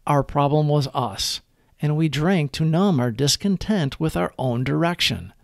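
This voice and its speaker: Expressive man reading, gravelly fry. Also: a little high, no room sound, studio quality. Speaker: reader of daily recovery reflections. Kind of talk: scripted devotional reading and narration.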